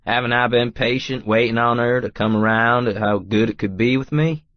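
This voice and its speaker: A man's voice: mid-range voice, steady tone, normal speed, dry recording, lo-fi sound. A man narrating a first-person romance audiobook in a folksy, colloquial way.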